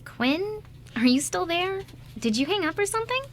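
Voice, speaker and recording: Actress doing caring gal pal in radio drama, frank, kinda scolding but kind, bit of noise. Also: female voice, very high-pitched, big pitch swings, moderate pace. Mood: concerned, chiding. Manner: chiding but kindly. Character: caring, frank woman friend on the telephone. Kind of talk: amateur radio drama script reading.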